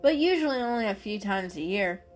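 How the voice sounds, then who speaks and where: A woman's voice: medium pitch, lively intonation, fairly quick, soft background music, lightly acted. A woman voicing a friendly, carefree travelling cat in a children's story, chatty, upbeat and emphatic. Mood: chatty, proud.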